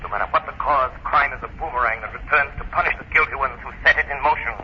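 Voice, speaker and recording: An actor playing an unseen crime-fighting avenger, cool, resolute and taunting, with commanding, dramatic delivery in an old-time radio thriller. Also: male voice, medium pitch, natural voice. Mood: solemn. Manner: dramatic, commanding, deliberate enunciation. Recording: noisy muffled old radio broadcast.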